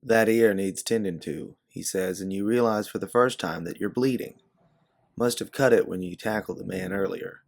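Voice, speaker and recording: Male story narrator, low register, gravelly edge, scripted second-person prose. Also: moderate pace. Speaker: narrator and author.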